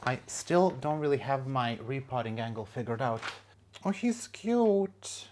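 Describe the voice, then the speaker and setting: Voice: mid-range voice, lively intonation, measured pace, darkish tone, a little hiss or hum. A plant vlogger talking casually at home, joking at their own expense and cooing over new plants. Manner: chatty, self-mocking, coos at plants. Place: home room, indoors.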